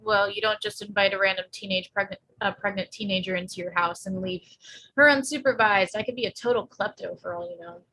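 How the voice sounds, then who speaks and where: A woman reading the part of a blunt, flippant pregnant teenage girl in a screenplay table read, with slangy deadpan delivery. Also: mid-range voice, fairly quick, rough recording. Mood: cheeky. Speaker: actor in a live table read.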